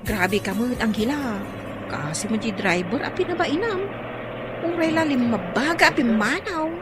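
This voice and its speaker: A woman's voice: on the high side, animated, normal speed, loud music behind the voice, natural voice. An actress with an Ilocano accent playing a chatty, nosy young female friend in a radio drama. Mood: teasing. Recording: clear vehicle noise behind.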